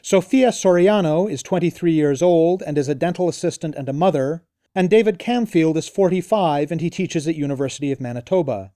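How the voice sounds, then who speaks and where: Mellow-voiced man reading a radio introduction. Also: on the high side, fairly quick, few pauses, no echo, clean studio sound. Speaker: radio host, interviewer. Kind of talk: scripted narration.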